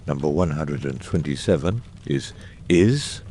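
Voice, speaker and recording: A man playing a brilliant, exacting, eccentric detective in a dramatised audiobook, speaking with precise, erudite phrasing and deliberate pauses. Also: extremely low pitch, lively intonation, dark tone, a little hiss or hum. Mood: brisk, reasoning. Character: brilliant, eccentric middle-aged male detective, exacting and theatrical.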